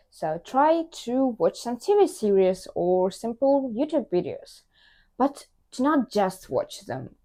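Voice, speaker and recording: A woman's voice: a little high, darkish tone. A woman vlogger sharing language-learning tips straight to camera, upbeat and encouraging, with slight non-native grammar slips. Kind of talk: direct-to-camera tips vlog.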